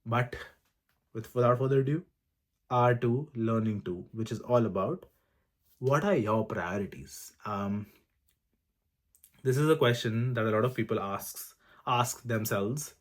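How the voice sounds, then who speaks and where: A man in his twenties, from India, giving earnest, casual advice to camera. He lists things one by one and fills pauses with frequent ums. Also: mid-range voice. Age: 20s. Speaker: vlogger sharing advice. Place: room with awards on display.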